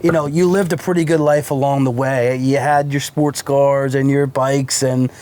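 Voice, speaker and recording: A man's voice: medium pitch, steady tone, normal speed, darkish tone. A man hosting a casual fireside interview, chatting along and asking long, winding questions. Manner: conversational, agreeing often, asking long questions. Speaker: podcast host. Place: outdoors by a fire pit.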